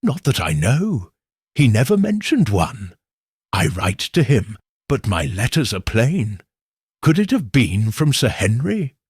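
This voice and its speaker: A man's voice: mid-range voice, big pitch swings, a little slow, husky, dry recording, studio quality, full character voice. A man voicing an anxious young woman typist who speaks earnestly and pleadingly in a storybook reading.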